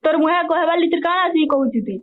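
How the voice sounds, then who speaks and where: A woman's voice: extremely high pitch, brisk. A young woman arguing accusingly in colloquial speech over a recorded phone call. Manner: accusatory, confrontational. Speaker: daughter in a family phone argument.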